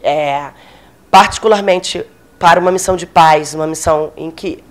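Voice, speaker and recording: A woman's voice: low-pitched, moderate pace. Woman officer lecturing with handheld mic. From Brazil. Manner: conversational, many fillers, gestures while speaking. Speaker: military officer giving a lecture. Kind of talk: informal lecture. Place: small meeting room, indoors. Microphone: handheld microphone.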